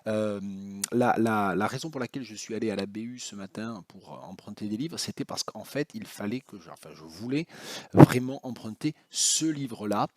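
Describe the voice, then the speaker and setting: A man's voice: on the low side, moderate pace, darkish tone, dry recording. A man talking casually about borrowed books, with hesitant speech full of fillers and restarts. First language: French. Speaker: university academic, book vlogger. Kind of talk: casual spoken book vlog.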